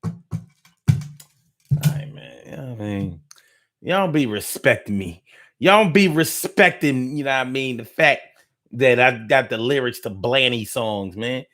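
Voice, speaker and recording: A man's voice: medium pitch, lively intonation, unhurried, pauses often, a bit bright. Laid-back slangy male podcast host. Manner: loose, rambling, playful riffing with slang and repetition. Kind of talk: casual late-night podcast sign-off.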